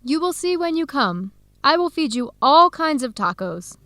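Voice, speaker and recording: Higher-voiced young woman, warm and upbeat. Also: sing-song, normal speed, no echo, heavily acted. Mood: excited, encouraging. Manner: clear, simple phrasing for English learners. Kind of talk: scripted educational radio drama dialogue.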